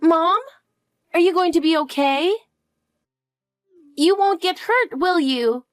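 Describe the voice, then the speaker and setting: A woman's voice: extremely high pitch, takes it slowly, no room sound, clean studio sound, soft background music, pitched above the performer's own voice. A woman voicing a frightened little girl who clings to her mother with pleading questions. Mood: scared. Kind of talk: dramatic dialogue.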